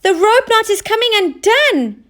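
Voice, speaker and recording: A woman voicing an excitable, eager young male dock helper in a children's storybook, calling out in exclamations. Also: very high-pitched, measured pace, pitched above the performer's own voice, heavily acted. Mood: alarmed.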